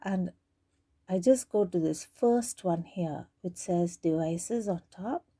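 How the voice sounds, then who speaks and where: Unhurried woman giving a hands-on tutorial. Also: on the low side, dark tone. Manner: hesitant, step-by-step, thinking aloud. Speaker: tutorial presenter demonstrating tape digitizing. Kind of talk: informal unscripted how-to demonstration.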